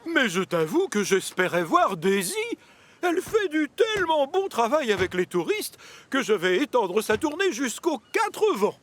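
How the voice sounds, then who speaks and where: An actor playing a busy, fussy, stout railway controller in a children's cartoon, middle-aged, authoritative and blustering. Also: male voice, very high voice, very animated, unhurried, fairly bright tone, exaggerated voice. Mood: pleased, busy.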